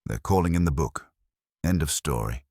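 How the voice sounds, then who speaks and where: A man plays a flippant detective's assistant in an audiobook. He needles in colloquial wisecracks, with a very low, husky voice that swings in pitch. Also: lively intonation, normal speed, darkish tone, no room sound, studio quality, full character voice. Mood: urging. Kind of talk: audiobook character dialogue.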